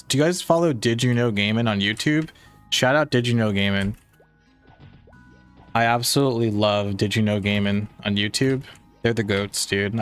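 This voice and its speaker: A man's voice: lowish voice, clear, resonant tone. A young man casually chatting on a game stream, rambling with lots of fillers, with a slightly nasal voice. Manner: rambling, many "like" fillers, laid-back. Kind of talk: casual livestream chat reading chat.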